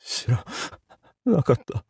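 A man playing a frightened, helpless cheating lover in a voice drama, with halting, faltering delivery. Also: a little mellow, no room sound, clean studio sound, lightly acted. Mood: stunned. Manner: halting, faltering short utterances. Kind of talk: Japanese voice drama acting.

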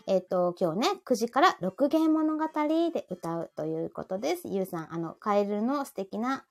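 A woman's voice: mid-range voice, big pitch swings, moderate pace. A woman with a Kansai accent hosting a live audio show in casual, playful chat. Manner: playful banter with co-host and listeners.

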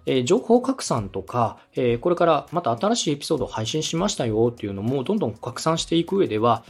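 A man's voice: medium pitch, breathy edge. A man hosting a podcast, giving feedback in a polite, encouraging way. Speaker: podcast host and adviser. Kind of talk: friendly podcast coaching talk.

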